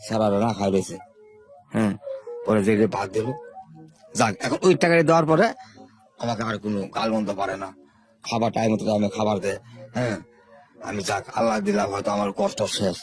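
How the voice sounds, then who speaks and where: An older man. He tells his life story to an interviewer at a brisk pace and often repeats his words. Faint music plays under his voice. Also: fairly low pitch. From Bangladesh, Sherpur. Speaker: interview guest.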